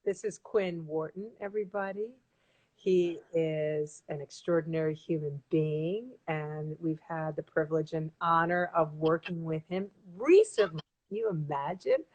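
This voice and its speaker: A woman's voice: low voice, a little slow, darkish tone, lo-fi sound, a little hiss or hum. A woman in her forties hosting a casual live-streamed interview from home, bubbly and enthusiastic, with frequent ums, heard through a laptop mic. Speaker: host. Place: room at home, indoors. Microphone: laptop webcam mic on a video call.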